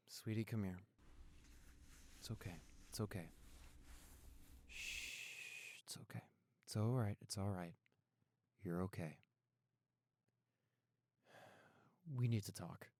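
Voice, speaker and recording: A man playing a caring, apologetic young boyfriend in an intimate roleplay audio, speaking earnestly and gently. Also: lowish voice, no echo. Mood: gentle, soothing. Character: apologetic, caring young boyfriend soothing his upset girlfriend.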